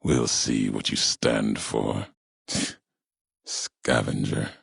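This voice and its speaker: An extremely deep, heavily gravelled voice for a grim, vengeful wasteland wanderer in a video game. He speaks slowly and solemnly, in terse, cryptic pronouncements, like a brooding philosopher set on revenge. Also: fairly even intonation, fairly bright tone, no room sound, studio quality, heavily acted. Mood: cold, challenging. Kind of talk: video game character dialogue.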